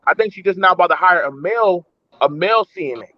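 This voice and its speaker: A man on a discussion panel, arguing in animated casual talk and often restarting his words. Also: high voice, fast talker, no echo, lo-fi sound. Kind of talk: casual debate chat.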